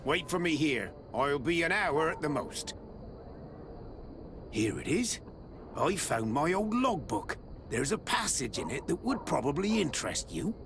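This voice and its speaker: Gravelly old male sea captain, wily, video game, lively intonation, noisy background. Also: fairly high pitch, measured pace. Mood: pleased, obliging. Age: older. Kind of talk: video game cutscene acting.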